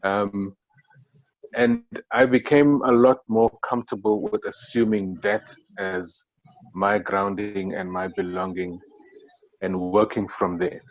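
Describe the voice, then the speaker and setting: Halting South African man, low voice. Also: fairly even intonation, no echo, muffled recording. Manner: halting, many fillers and restarts. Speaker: panelist. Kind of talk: reflective panel discussion. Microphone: video call.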